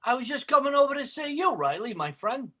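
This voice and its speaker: An actor playing a sly, wheedling, ambitious factory worker in an old-time radio sitcom, with wisecracking, folksy speech. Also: male voice, extremely high pitch, very animated, brisk, sharp tone, heavily acted. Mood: wary, sly.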